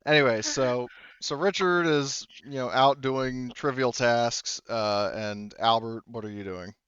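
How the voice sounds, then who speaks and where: A man running a tabletop roleplaying game as game master, narrating casually in a halting, hesitant way. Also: medium pitch, a bit bright, clean tone, no room sound. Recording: slightly dull, dry close recording.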